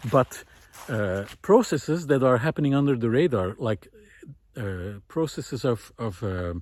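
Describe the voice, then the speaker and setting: A man's voice: medium pitch, darkish tone. A man vlogging outdoors as he walks, giving a reflective, unscripted monologue in a hesitant, musing way with trailing asides. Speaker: vlogger hosting a walking talk series. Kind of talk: reflective unscripted monologue to camera while walking. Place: outdoors, walking through a village among vineyards.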